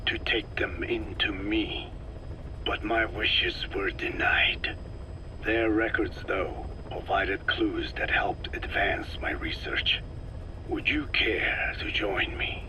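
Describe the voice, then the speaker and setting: Game role: century-old scholar, whispery and low, formal, measured storyteller, on noisy tape. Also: male voice. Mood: measured, wistful. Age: older. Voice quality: breathy, whispery, aged voice. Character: ancient soft-spoken scientist kept alive by parasites. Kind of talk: dramatic video game dialogue. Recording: noisy cassette tape with vehicle-like rumble.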